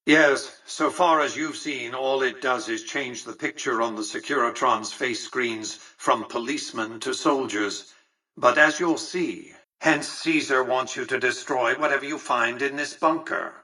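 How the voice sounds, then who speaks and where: Pompous, raspy elderly tycoon. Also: male voice, medium pitch, a little slow, bright, forceful tone, far from the mic, low-quality recording. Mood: condescending, deductive. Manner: formal, pompous, precise diction; condescending. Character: imperious elderly male tycoon ruling a casino city, coldly calculating. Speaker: video game character. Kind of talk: scripted game dialogue.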